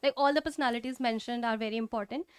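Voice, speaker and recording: A woman's voice: fairly high pitch, rapid-fire, clear, resonant tone. A woman with an Indian accent talking conversationally as an educator in an exam discussion.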